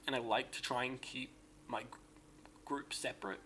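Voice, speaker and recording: A man with a sore, raspy voice giving casual, rambling commentary on a game build and correcting himself as he goes. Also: mid-range voice, steady tone, a little slow, sharp tone, a little hiss or hum. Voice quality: raspy, gravelly. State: sore, hoarse voice. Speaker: game streamer.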